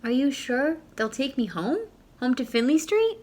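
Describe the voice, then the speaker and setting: A woman voicing an adventurous, excitable young boy in a storybook, with an exclamatory way of talking. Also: sits high, normal speed, voice pushed up. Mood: wary. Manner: exclamatory, calling out.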